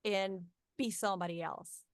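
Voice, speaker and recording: A woman from northern Mexico speaking English with a Mexican accent, chatting as a guest in a friendly, lively way. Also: medium pitch, normal speed, no room sound, studio quality. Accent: Mexican Spanish. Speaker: voice actress, guest. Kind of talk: casual interview chat.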